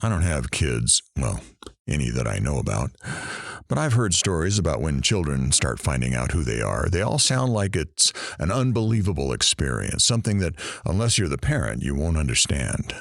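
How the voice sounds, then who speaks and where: A man's voice: a bass voice, big pitch swings, moderate pace, creaky, dry recording, clean studio sound, heavily acted. A man playing a musing interviewer in an audio drama, conversational and frank. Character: musing male interviewer, frank about his regrets.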